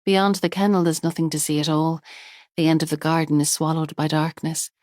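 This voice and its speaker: A woman narrating a thriller audiobook in a measured, tense storytelling manner. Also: sits low, level tone, a little mellow, no echo, studio quality.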